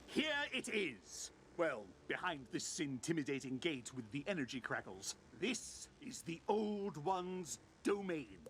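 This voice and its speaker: Grandiose, swooping gentleman adventurer. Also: a little high, sing-song, measured pace, hardly any pauses, slight background noise. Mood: triumphant, eager. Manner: pompous, grandiose, exclamatory. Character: bombastic old-time gentleman adventurer, boastful and theatrical. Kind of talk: theatrical video game voice acting.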